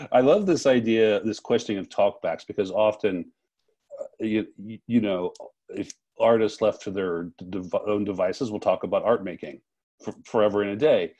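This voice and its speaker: This is a man with a husky, somewhat low voice, working as an interviewer. He talks conversationally and haltingly, often doubling up words as he thinks his way into a question. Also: dark tone. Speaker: interviewer, festival co-director.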